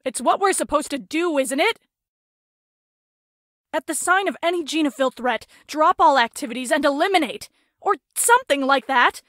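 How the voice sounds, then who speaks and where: A woman's voice: sits high, lively intonation, normal speed, fairly bright tone, dry recording, studio quality. A woman voicing a blunt, argumentative young squad member in a visual novel, matter-of-fact and challenging.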